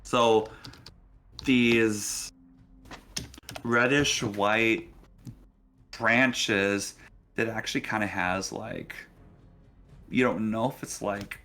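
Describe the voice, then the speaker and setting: A man narrating a tabletop role-playing game as game master, pausing hesitantly mid-sentence. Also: on the low side, steady tone, sharp tone. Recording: faint background music.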